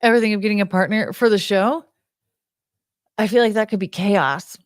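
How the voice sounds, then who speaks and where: A woman's voice: mid-range voice, a little fast, no echo, clean studio sound. An American woman hosting a live stream, chatting in a rambling, unfiltered way with casual swearing. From United States.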